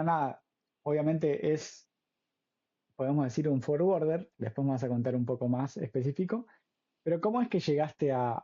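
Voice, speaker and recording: Through slightly dull, lo-fi audio, a man with an Argentine accent hosts an informal chat. His voice is husky and mellow, and he asks questions in a casual, conversational way. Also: mid-range voice. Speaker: podcast host, interviewer.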